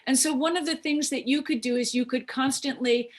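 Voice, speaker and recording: A woman's voice: high voice, fairly even intonation, fairly quick. A woman facilitating an online workshop discussion from a room on a video call. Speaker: workshop leader.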